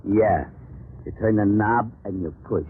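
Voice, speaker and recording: A man playing a sour, slow-witted police sergeant with a sullen, mocking manner in old radio drama style. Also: lowish voice, natural voice. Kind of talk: 1940s radio drama acting. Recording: tinny noisy old radio recording.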